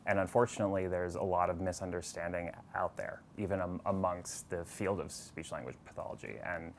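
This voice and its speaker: A man with a very deep voice speaks earnestly, now and then restarting a word. A faint hiss sits under his promotional interview. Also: fairly quick.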